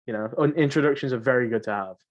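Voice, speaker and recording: Young male podcast co-host, average pitch. Slangy, repetitive, rambling and jokey, casual throughout. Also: racing, muffled recording. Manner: joking, rambling, slangy, repeats himself. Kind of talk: casual banter.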